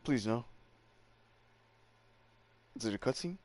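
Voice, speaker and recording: A man's voice: mid-range voice, expressive, slow pace, a little mellow. A male gameplay streamer reacting casually in short, slangy exclamations. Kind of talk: casual let's-play reaction.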